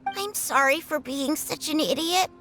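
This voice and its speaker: A woman voicing a sweet, earnest small child in an animated video game dub. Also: sits high, measured pace. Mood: apologetic.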